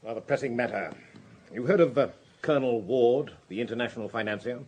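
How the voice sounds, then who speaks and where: An actor playing a senior police director in a radio drama, courteous but anxious, with clipped, hesitant authority and a British accent. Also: male voice, mid-range voice, fairly quick, darkish tone, dull audio, a little hiss or hum, close to an everyday voice. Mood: concerned, courteous. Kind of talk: BBC radio drama acting.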